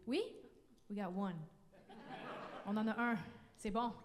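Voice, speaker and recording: A woman's voice: mid-range voice, steady tone, a little slow. A young French Canadian woman, a native French speaker, talking casually and with lots of fillers to the audience between songs from a concert stage. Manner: chatty, giggly, lots of fillers. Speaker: singer-songwriter. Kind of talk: onstage banter between songs. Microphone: stage vocal mic. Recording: live hall reverb.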